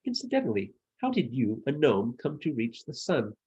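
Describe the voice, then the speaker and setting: A man's voice: mid-range voice, huge pitch swings, warm tone, husky, highs cut off, pitched above the performer's own voice, heavily acted. A man voicing a frantically busy sunbeam bee in a children's storybook, chattering in zippy phrases. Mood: curious, inviting. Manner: rapid, buzzy alliterative chatter.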